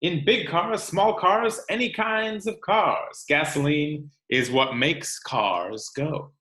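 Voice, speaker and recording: Man, teacher read-aloud, slightly high bright voice, expressive, explanatory, instructional. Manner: expressive, instructional, pausing to explain and ask questions. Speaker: teacher reading a book aloud. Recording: roomy, echoing sound.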